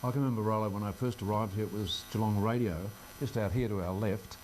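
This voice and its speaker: A man's voice: on the low side, fairly even intonation, fast talker, darkish tone, slight background noise, rough recording. An older Australian man, a local radio presenter, chatting informally in an interview with a wry, self-deprecating manner. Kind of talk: casual interview chat. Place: radio studio.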